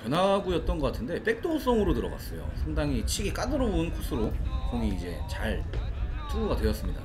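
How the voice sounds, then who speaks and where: High, very animated male baseball analyst. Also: fast, music in the background. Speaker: color commentator. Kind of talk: conversational live baseball analysis.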